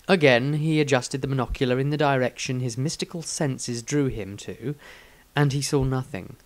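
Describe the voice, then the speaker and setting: A man's voice: medium pitch, moderate pace, no room sound. A man narrating a fantasy story expressively, as an author reading his own work.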